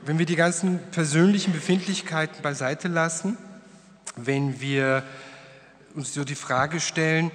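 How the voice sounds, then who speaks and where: A man's voice: a little high, fairly bright tone. A middle-aged man moderating a panel discussion, reflective, tagging sentences with questions, at a table microphone. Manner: reflective, frequent tag questions. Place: wood-panelled hall, seated at panel table. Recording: long hall reverb.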